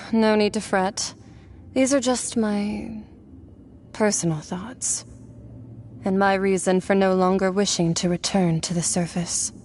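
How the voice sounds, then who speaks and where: A woman's voice: medium pitch, steady tone, takes it slowly, a little mellow, a little hiss or hum. An actress playing a detached, enigmatic warrior woman in a video game, musing in a matter-of-fact way. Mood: unbothered. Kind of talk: video game character dialogue.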